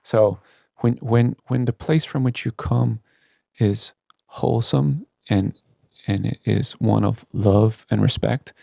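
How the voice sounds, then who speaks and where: A man's voice: lowish voice, steady tone, slow pace, gravelly, dark tone, dry recording, studio quality. A man speaking as a CEO guest in a candid podcast interview, earnest and emphatic about his opinions.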